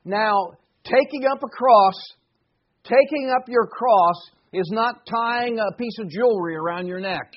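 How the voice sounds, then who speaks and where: Male preacher, 60s, high-set voice, earnest and emphatic sermon delivery, slightly dull recording. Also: unhurried. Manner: earnest, emphatic with repeated phrases.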